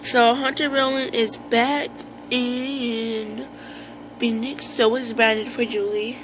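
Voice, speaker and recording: An American woman talking casually to her viewers in an informal, halting, rambling vlog style. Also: a little high, level tone, background noise. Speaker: vlogger.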